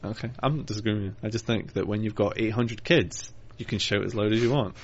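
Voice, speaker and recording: A young man with a low, gravelly voice trades dry, teasing banter and laughs often. The podcast sound is dull and lo-fi. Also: quick pace, a little hiss or hum. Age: young adult. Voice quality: deep gravelly voice. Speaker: podcast co-host. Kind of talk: casual banter. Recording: lo-fi podcast recording.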